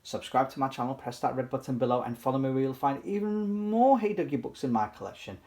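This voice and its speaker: A children's book reader giving an animated, playful, sing-song read-aloud for toddlers. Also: medium pitch, fast talker.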